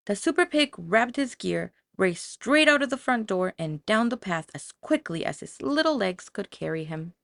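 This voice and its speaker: Low-pitched, creaky woman storyteller. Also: dry recording. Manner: dramatic, expressive storytelling. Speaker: audiobook narrator. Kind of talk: scripted children's story narration.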